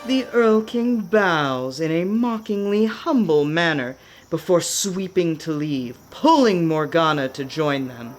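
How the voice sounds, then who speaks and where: A woman narrating a scripted radio play with measured, dramatic storytelling. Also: low-pitched, lively intonation, soft background music.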